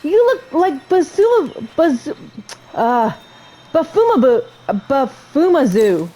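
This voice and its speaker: Female let's-player, highish voice, chatty and unscripted, muttering her thoughts aloud as she plays. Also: sing-song, slow, rough recording. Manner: chatty, thinking out loud, trails off and repeats herself. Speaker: gamer playing and reading game dialogue aloud. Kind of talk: casual let's-play commentary with dialogue read aloud.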